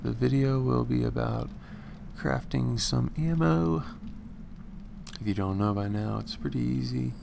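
A young man with a raspy morning voice, casually rambling through gameplay commentary with slang. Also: medium pitch, a little slow, noisy background. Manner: slangy, rambling, laid-back. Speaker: gaming channel host.

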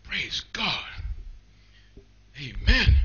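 A middle-aged man preaching a fiery revival sermon in a small church, shouting in rhythmic, repeating calls. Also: high-pitched, very animated, drawn-out words, lo-fi sound.

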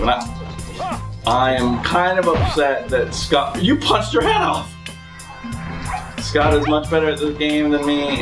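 A man riffing on a video game from a couch, his voice swinging wildly up and down as he curses and laughs. The room rings with echo, and game music plays clearly behind him. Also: a little high. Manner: excitable, swearing, laughing, teasing. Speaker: co-host playing along. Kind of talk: casual let's-play commentary. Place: indoor room, seated on a couch.

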